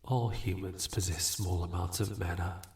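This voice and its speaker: A man playing a suave, amused demon in a fantasy audio roleplay, condescending and teasing with unhurried courtesy. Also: low voice, steady tone, darkish tone. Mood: smug, businesslike. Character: suave, amused, bargaining male demon, ageless and smug. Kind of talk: scripted fantasy audio roleplay addressed to listener. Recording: roomy echo, distant from mic.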